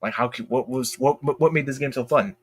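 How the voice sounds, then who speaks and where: A man giving casual, opinionated livestream commentary in a blunt, emphatic way, haltingly repeating his phrases for emphasis. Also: mid-range voice, slightly rough, dry recording. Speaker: streamer and commentator.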